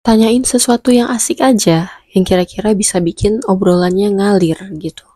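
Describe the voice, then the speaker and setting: A woman's voice: mid-range voice, steady tone, normal speed, warm tone, no room sound, clean studio sound. A young woman with a stuffy nose from a cold, giving chatty advice in a casual vlog, slipping in English phrases and playfully scolding her viewers. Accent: Indonesian. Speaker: vlogger.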